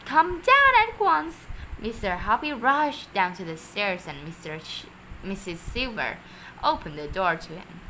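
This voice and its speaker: A woman's voice: on the low side, expressive, normal speed, a bit bright, slight background noise, low-quality recording. A woman reading a children's story aloud expressively, sometimes stumbling over her words. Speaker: storybook reader.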